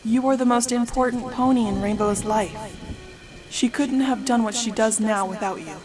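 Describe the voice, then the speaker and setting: A woman's voice: on the high side, normal speed, lightly acted. A woman voicing a serene, motherly goddess in a fantasy audio drama, speaking formally and solemnly. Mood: solemn, grand. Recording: echoey reverb with music behind.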